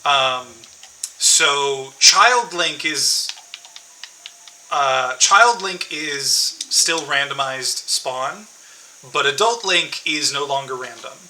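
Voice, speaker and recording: A man's voice: on the high side, expressive, drawn-out words, pushed and bright, a little hiss or hum, poor audio. A man streaming a game, chatting casually to viewers and thinking aloud with many fillers and restarts.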